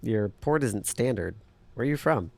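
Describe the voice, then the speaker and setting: A man's voice: medium pitch, animated, normal speed, creaky, darkish tone, dry recording. A man acting in an audio drama as a wary, blunt underground tech leader who talks in a terse, dry, probing way. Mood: skeptical.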